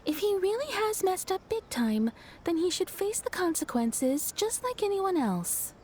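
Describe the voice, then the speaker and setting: A woman's voice: very high voice, sing-song, unhurried, slight background noise, exaggerated voice. A woman voicing a cheerful young townswoman in a video game who tells stories in a chatty, amused way. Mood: warm, amused. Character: cheerful young woman of the town, fond storyteller. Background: soft game music.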